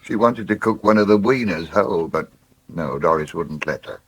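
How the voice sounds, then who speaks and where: An actor playing a sentimental old English farmer in a radio soap, with a husky voice and a halting, reminiscing manner. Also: male voice, sits low, animated, exaggerated voice. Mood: amused, reminiscing. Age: older. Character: sentimental old farmer, wistful about bygone days. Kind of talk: naturalistic radio soap acting.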